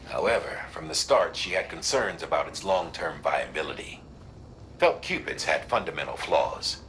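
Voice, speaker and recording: A businesslike commander briefing an agent in a video game. His voice is forceful and bright, with animated swings in tone. He phrases deliberately over faint ambience. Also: low voice, slight background noise, full character voice.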